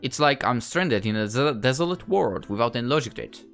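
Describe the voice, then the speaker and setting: A low, measured young man read by a woman, polite and a little dry. He sounds like a puzzled visual novel protagonist taking in a strange world, with faint music behind. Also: expressive. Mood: puzzled, reserved. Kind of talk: first-person game dialogue reading.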